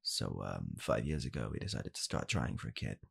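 Young guy doing a soft spoken blunt dad for asmr comedy, super croaky and raspy, halting and deadpan. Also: very deep voice, pitched below the performer's own voice, exaggerated voice. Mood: wistful, regretful. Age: young adult. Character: blunt, callous father calmly blaming his child. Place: small home room, indoors.

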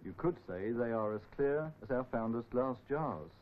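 Middle-aged male company expert, lowish voice, scripted delivery, dull film audio, slight background noise. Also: animated, normal speed. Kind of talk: scripted presentation to camera. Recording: dull old film sound, faint noise.

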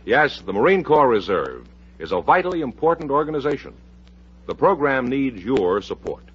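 A man's voice: medium pitch, big pitch swings, moderate pace, fairly bright tone, slightly rough. A man with an American accent announcing in an earnest, formal, scripted old-time radio style. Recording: muffled vintage radio recording.

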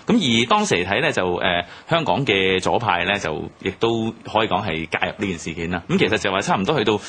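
Low, quick Hong Kong Cantonese man. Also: very animated, fairly bright tone, slightly rough. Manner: analytical, explanatory. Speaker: commentator on history radio programme. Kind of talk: analytical radio discussion.

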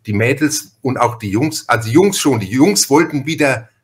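A man's voice: medium pitch, expressive, moderate pace. A middle-aged man, chatting casually and emphatically as a podcast host. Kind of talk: casual chat.

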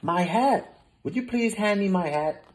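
A hapless male stage magician from a picture book, read out by a man. He sounds earnest and unsure, and his pitch moves around a lot. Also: animated, unhurried. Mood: excited.

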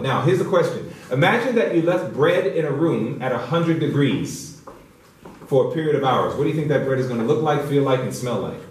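A man's voice: mid-range voice, darkish tone, far from the mic, slight background noise. A middle-aged man giving an emphatic, instructive public health lecture, repeating key phrases and posing rhetorical questions. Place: indoor church hall, speaking to an audience. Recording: very echoey hall, picked up from afar.

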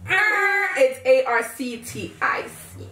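A woman calling out words to spell, teasing her friends loudly and shrieking when they miss. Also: high voice, sing-song, slow pace. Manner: loud, teasing, shrieks at wrong answers. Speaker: word caller and friend. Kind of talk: casual chat among friends. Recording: roomy echo, distant mic, faint noise.

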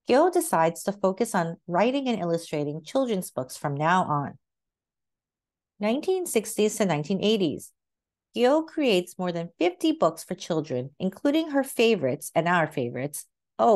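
A woman narrates a children's book in a read-aloud style. Her voice sits a little low but moves through a lively range of intonation. The phrasing is measured and expressive.

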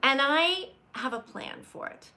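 Slow-talking woman in her fifties, bright. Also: high voice, lively intonation. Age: 50s. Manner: chatty, warm greetings to viewers by name, candid. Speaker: livestream host. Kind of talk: casual live chat greeting viewers. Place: home room with leather chair by window. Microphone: webcam at desk.

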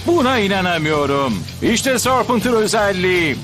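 A man playing an excitable young onlooker in a dubbed anime, giving astonished, dramatic commentary on a battle. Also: high-pitched, moderate pace. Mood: amazed. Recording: loud music under the voice.

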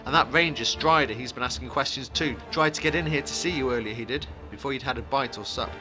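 A man's voice: mid-range voice, fast, natural voice. A man voicing an anxious, forgetful, chatty village innkeeper in a fantasy audiobook, in flustered, rambling rustic talk. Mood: uneasy, indignant. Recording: music behind the voice.

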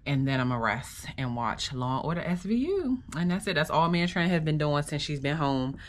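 A woman's voice: low-pitched, expressive, a little fast. A young woman from the United States talking casually to her phone camera for a vlog, chatty and upbeat, with lots of "like" and "um" fillers. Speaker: vlogger.

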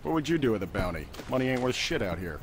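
A man's voice: medium pitch, big pitch swings, fast talker. A man playing a cynical, foul-mouthed armed scavenger in casual video game banter. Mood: cynical, grumbling. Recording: distant voice under loud engine noise.

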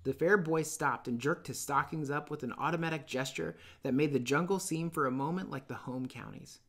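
A man in his thirties teaching and reading aloud in an expressive, emphatic, explanatory way. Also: on the high side, a little fast. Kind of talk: explanatory lesson and storybook narration. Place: small dim room indoors.

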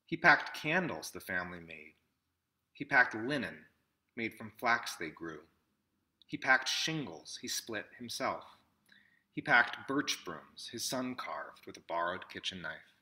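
A man in his thirties reads a storybook aloud in measured short phrases with pauses, recorded indoors at arm's length from the mic. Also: fairly low pitch, big pitch swings, bright, forceful tone. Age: 30s. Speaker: picture book reader. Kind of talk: read-aloud storybook narration. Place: small indoor room with classroom wall displays.

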